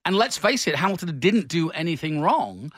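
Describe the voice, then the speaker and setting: A man with an English accent co-hosts a motor racing talk show, sharing firm opinions emphatically. His voice is a little high. It is recorded close and dry. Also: sing-song, moderate pace, a bit bright, no room sound. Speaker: co-host. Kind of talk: casual sports talk.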